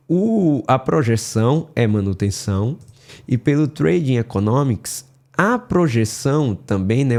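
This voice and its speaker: A man with a Brazilian Portuguese accent, hoarse from a cold, talking casually through a weekly market analysis and checking in with viewers often. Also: mid-range voice, dark tone. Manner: casual, addresses viewers often with filler tags.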